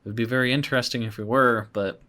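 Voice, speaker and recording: A man's voice: mid-range voice, steady tone, fast, sharp tone. A man hosting a casual podcast, talking in a laid-back, noncommittal way. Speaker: podcast host and video creator.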